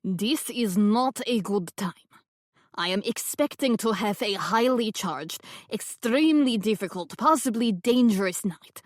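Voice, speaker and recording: A woman's voice: mid-range voice, expressive, measured pace, no echo, clean studio sound, close to an everyday voice. A woman voicing a cold, theatrical fake medium speaking with haughty disdain. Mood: dramatic.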